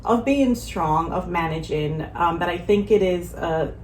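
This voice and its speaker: A woman hosting a casual chat, lively and joking, with slangy asides. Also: lowish voice, measured pace. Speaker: host. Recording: echoey room, faint ambient noise.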